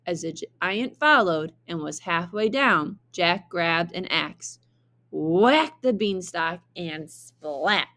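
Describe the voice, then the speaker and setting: A woman reading a children's story aloud like a teacher, with expressive, deliberate narration. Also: lowish voice.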